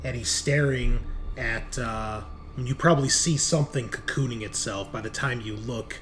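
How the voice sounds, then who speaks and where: A man's voice: mid-range voice, moderate pace, fairly bright tone, faint music behind. A man narrating a tabletop horror game as game master, in a conversational way. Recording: noticeable background noise.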